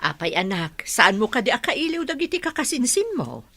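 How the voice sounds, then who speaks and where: A woman's voice: fairly high pitch, big pitch swings, normal speed, fairly bright tone, no room sound, full character voice. A woman playing a sociable village aunt and mother in a radio drama. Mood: sympathetic. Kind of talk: Ilocano radio drama acting.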